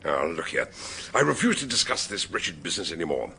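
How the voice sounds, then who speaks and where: An actor playing a shrewd gentleman investigator in a radio drama, deliberate, wry and probing in manner. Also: low voice, big pitch swings, vocal fry, a little hiss or hum, full character voice. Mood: emphatic. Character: shrewd, mannered gentleman sleuth questioning suspects.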